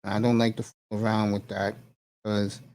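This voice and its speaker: A man hosting a casual conversation, speaking earnestly and encouragingly with many pauses and fillers. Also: lowish voice, level tone, creaky. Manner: warm, encouraging, hesitant fillers. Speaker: host.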